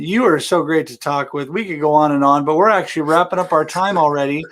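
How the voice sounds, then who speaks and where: A man hosting a live show, talking in a friendly, upbeat way with his guest and viewers. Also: a little high. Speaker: host. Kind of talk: casual live-stream chat.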